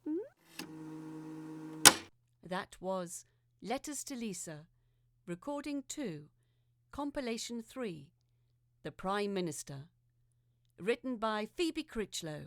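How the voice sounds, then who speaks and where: A woman narrator reading scripted titles and credits in a measured announcing style. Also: mid-range voice, lively intonation, no echo, studio quality.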